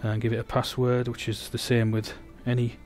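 A man's voice: mid-range voice, monotone, moderate pace, a little mellow, faint music behind. An English man giving a technical product demonstration, explaining steadily with frequent restarts.

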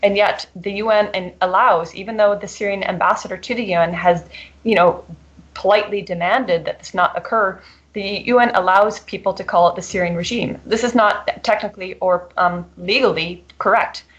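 A woman speaking at a middle pitch, answering an interviewer in earnest. She restarts sentences and leans on "you know" as she builds her points. The audio is dull and lo-fi. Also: normal speed. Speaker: interview guest reporting from abroad.

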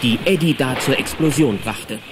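A man's voice: medium pitch, moderate pace, very noisy. A man narrating a children's audio drama in a scripted storytelling style.

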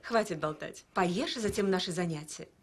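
A woman's voice: fairly low pitch, moderate pace, husky. A woman voicing a stern but motherly older ballet mentor, firm and restrained, in a dubbed cartoon. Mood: stern, hurt. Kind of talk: dubbed animated film dialogue.

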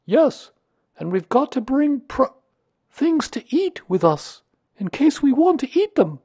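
A man's voice: extremely high pitch, big pitch swings, a little slow, darkish tone, no echo, voice pushed up, exaggerated voice. A man voicing a naive, eager, kindly toy bear in a children's storybook reading, with simple, bouncy delivery. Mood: cheerful.

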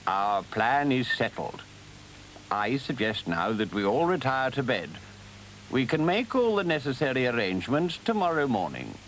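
A man voicing a stern, authoritative older badger in an animal storybook, grave, deliberate and commanding. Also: mid-range voice, big pitch swings, fairly bright tone, slight background noise, poor audio. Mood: decisive.

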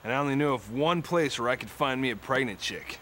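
A man playing a cocky, dim-witted, crude rock musician who tells boastful, slangy stories in an animated comedy. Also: medium pitch, quick pace, a little hiss or hum. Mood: earnest storytelling. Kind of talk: animated comedy storytelling and banter.

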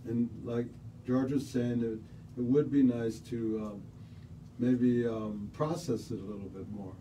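A middle-aged man talking casually in a small radio studio, relaxed and rambling with many fillers. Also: medium pitch, unhurried, slight background noise. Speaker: studio guest musician. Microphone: studio mic on stand, headphones on. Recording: slightly distant mic.

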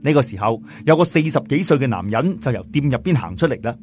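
A man with a Cantonese accent narrating a scripted story in a dramatic, expressive way. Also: medium pitch, fast talker. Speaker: audiobook narrator. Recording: music bed under voice. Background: background music.

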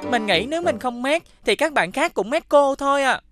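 A woman voicing a stubborn young schoolchild who likes to tattle, in an expressive children's cartoon style. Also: high voice, fairly quick. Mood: self-righteous. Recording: music behind the voice.

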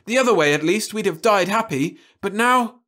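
Male reader as volatile stranded spaceman, shouty, agitated, sci-fi storybook. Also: high-pitched, expressive, brisk, voice pushed up. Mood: angry. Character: bitter, volatile man stranded on asteroid. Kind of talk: storybook reading.

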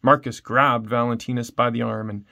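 A man's voice: mid-range voice, level tone, normal speed, creaky, sharp tone. Man reading a storybook aloud. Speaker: storybook reader. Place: small room indoors at home.

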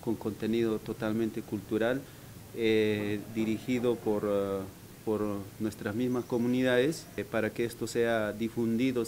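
A man from Peru speaks in a low voice, pausing often and filling gaps as he explains his plans. Faint noise hangs behind him. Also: darkish tone. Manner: halting, many fillers. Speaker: workshop participant. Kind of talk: hesitant interview talk.

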